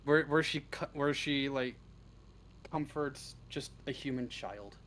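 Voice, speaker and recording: A man chatting casually as an interview guest, halting and hesitant as he goes, with plenty of swearing. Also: mid-range voice, a bit bright. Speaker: interview guest, script writer. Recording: clearly noisy live stream audio.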